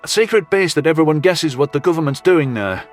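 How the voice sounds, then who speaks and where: A man playing a gruff, colloquial human commanding officer in a sci-fi audio drama. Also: a little high, animated, brisk, soft background music, close to an everyday voice. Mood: impatient. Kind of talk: audio drama character acting.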